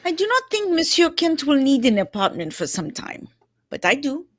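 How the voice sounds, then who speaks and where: A woman's voice: sits high, wildly swooping pitch, normal speed. A woman playing a dapper, self-assured male detective in a put-on French accent, with formal, courtly phrasing, in a radio drama reading. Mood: smug, measured. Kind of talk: live old-time radio drama reading.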